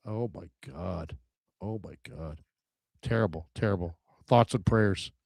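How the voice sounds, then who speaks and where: A man's voice: sits low, a little slow, creaky, darkish tone, dry recording, studio quality. A man co-hosting a casual sports talk show. He sounds nasal and stuffed up from a cold, and he hesitates while searching for words.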